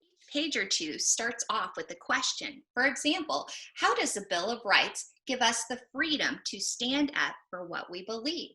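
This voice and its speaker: Bright, animated woman presenting books for children. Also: a little high, normal speed, poor audio. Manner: expressive, explanatory, posing questions. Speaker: library presenter. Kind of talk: book talk for children.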